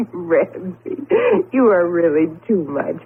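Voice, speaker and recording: An American woman acting in an old-time radio drama as a sharp-tongued, teasing but fond professor's wife. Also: fairly low pitch, lively intonation, slow, phone-quality sound. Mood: amused, teasing. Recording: band-limited, hissy old radio recording.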